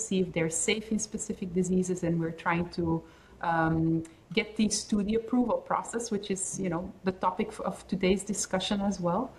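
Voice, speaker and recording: A woman with a Belgian accent speaking as a podcast guest, her voice sitting in an ordinary middle pitch. She explains things in a measured, thoughtful way, pausing on fillers as she works through her points. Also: poor audio. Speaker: pharmaceutical research head and guest. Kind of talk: podcast interview.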